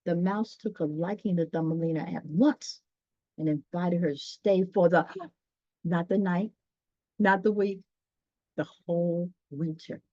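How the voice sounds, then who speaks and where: A woman reading a children's story aloud, pausing dramatically and chatting directly to her listeners. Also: on the low side, darkish tone, no room sound. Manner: dramatic pauses, gasps, talks directly to listeners. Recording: dull, dry lo-fi sound.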